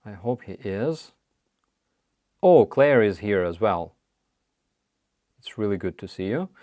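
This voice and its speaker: A man with a Ukrainian accent hosting a casual live chat, answering viewer questions in halting, apologetic English with many restarts. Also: on the low side, animated, mellow, no room sound, clean studio sound. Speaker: livestream host.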